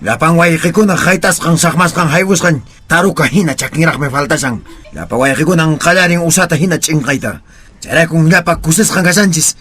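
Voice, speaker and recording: An actor playing a grave, determined Andean rebel leader in a radio soap, talking earnestly and deliberately. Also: male voice, a little high, fairly bright tone, husky.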